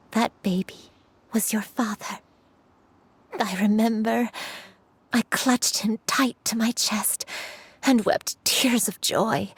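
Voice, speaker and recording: A frail, forgetful old grandmother from a video game speaks softly and wistfully, her words unhurried. Her pitch sits a little high for an older woman. She sounds gentle and patient throughout. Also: vocal fry, breathy edge, heavily acted. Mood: tender. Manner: soft, slow, wistful. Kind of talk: game cutscene voice acting.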